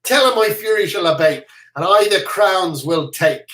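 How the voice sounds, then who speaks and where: A single reader voicing a blustering, boastful soldier who shouts bullying threats while reading a play aloud. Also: medium pitch, lively intonation, normal speed, lightly acted. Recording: roomy echo.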